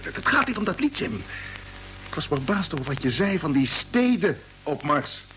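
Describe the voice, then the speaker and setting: An actor playing an earnest, uneasy spaceship commander in a radio drama, speaking haltingly and urgently. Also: male voice, on the high side, very animated, a bit bright, slight background noise. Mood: agitated, insistent. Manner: halting, urgent, with repeated words. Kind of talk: old radio drama acting.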